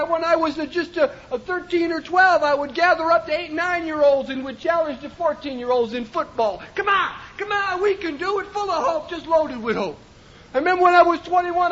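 A man giving a personal testimony at a recovery meeting, impassioned and often shouting, with rhythmic repetition. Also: very high-pitched. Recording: dull lo-fi recording.

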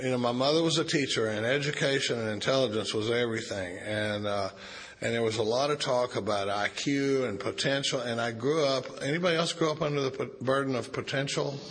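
A man's voice: mid-range voice, a bit bright. A man from Texas, telling his recovery story in a folksy, joking way with many restarts. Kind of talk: recovery meeting talk with jokes.

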